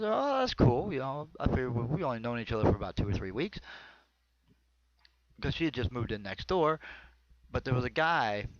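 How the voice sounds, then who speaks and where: A man's voice: medium pitch, no echo. An American man performs an autobiographical comedy monologue in a rambling, conversational storytelling manner. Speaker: amateur comedian. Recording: dull, lo-fi close dry recording.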